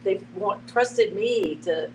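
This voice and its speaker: Halting woman, distant from a noisy mic. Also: mid-range voice. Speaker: podcast panelist. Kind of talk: casual reflective discussion. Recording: noisy, distant microphone, background hum.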